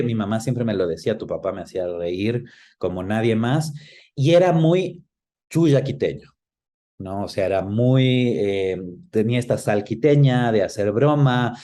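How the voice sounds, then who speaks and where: A middle-aged man with an Ecuadorian accent, reminiscing conversationally as an interview guest and pausing to search for words. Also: mid-range voice, warm tone. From Quito, Ecuador.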